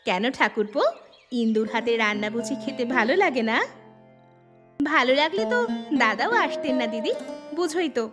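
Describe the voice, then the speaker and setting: A woman's voice: on the high side, expressive, normal speed, long pauses, natural voice. A woman playing an outspoken daughter-in-law in a cartoon family story, with pointed, emphatic delivery over music. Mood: pointed, needling. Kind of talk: cartoon family drama acting. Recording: music behind the voice.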